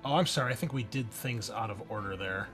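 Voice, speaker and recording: A man acting as game master, talking through rules and narrating casually, often halting as he thinks aloud. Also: on the low side, a bit bright. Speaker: game master of an online tabletop game. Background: music.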